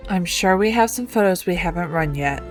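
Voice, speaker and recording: A woman voicing a practical, reassuring female newspaper editor-in-chief with a brisk manner in a game story. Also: fairly low pitch, animated, soft background music, natural voice.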